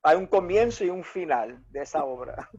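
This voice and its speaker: A man whose first language is English, speaking Spanish in a casual Bible discussion and often pausing to search for words. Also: on the high side, lively intonation, a little mellow, husky, muffled recording. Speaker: Bible study participant.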